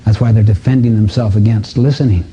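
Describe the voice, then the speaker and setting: A man's voice: lowish voice, fairly even intonation, fast, warm tone, husky. A man speaking as a motivational seminar teacher, emphatic and full of rhetorical questions. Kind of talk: live self-help seminar talk, teaching with acted-out dialogues. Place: seminar room before an audience. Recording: dull, muffled lo-fi audio.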